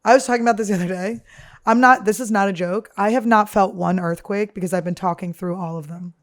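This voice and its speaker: Deep-voiced woman rambling crudely. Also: steady tone, quick pace. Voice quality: deep, damaged-sounding voice. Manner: talks nonstop, crude and self-mocking. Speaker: podcast host. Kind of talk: rambling comedic storytelling.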